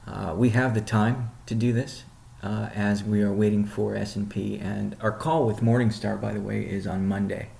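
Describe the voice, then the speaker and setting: A man leading an informal business update call as a chief executive, explaining things in a measured way with pauses mid-sentence. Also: on the low side, level tone, vocal fry, mellow. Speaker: company CEO hosting a weekly update call. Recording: roomy, echoing sound.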